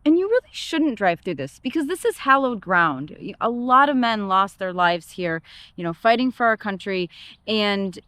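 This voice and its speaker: A woman from Michigan, with the accent to match, chats on a travel vlog outdoors. Her pitch sits in the middle and the sound is dry and close. She muses aloud and adds fillers as she goes. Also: very animated, moderate pace, a bit bright, no echo. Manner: chatty, thinks aloud with fillers. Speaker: travel vlogger. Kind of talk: casual travel chat. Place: outdoors at a park.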